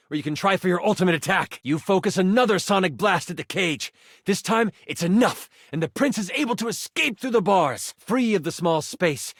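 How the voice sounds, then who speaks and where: A man's voice: high-pitched, no room sound, studio quality, exaggerated voice. An actor playing a game master in a video game, a man narrating a tabletop fantasy adventure with theatrical, suspenseful timing. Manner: theatrical, suspenseful storytelling with weighted pauses. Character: living twenty-sided die, dramatic male game master.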